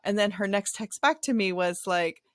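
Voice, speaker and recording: A middle-aged woman hosting an interview podcast, telling stories in a chatty, animated way with playful asides. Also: medium pitch, moderate pace, no room sound, studio quality. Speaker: podcast host. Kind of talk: conversational interview.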